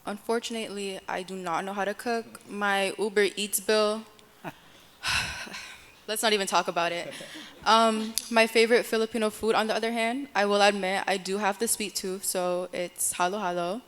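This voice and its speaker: A woman's voice: mid-range voice, fairly even intonation, moderate pace, fairly bright tone. A sixteen-year-old girl chatting casually, with a playfully dramatic manner. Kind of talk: casual roundtable talk. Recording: reverberant room.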